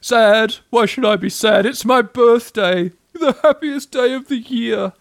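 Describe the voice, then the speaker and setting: A man voicing a gloomy, self-pitying old grey donkey from a children's storybook, drawling slowly and sarcastically. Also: a little high, no room sound, studio quality. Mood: mock cheerful. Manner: gloomy, slow, drawn-out, sarcastic.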